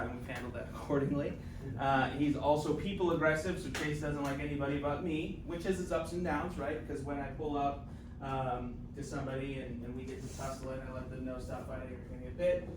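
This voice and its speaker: A man's voice: medium pitch, fairly even intonation, quick pace, far from the mic. Casting note: man in his thirties, native Spanish speaker raised near Chicago, casual, friendly, filler-heavy classroom talk, roomy echo, heard from afar over noise. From Chicago area. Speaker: police K-9 handler, guest speaker. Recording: echoey room, from afar, noisy.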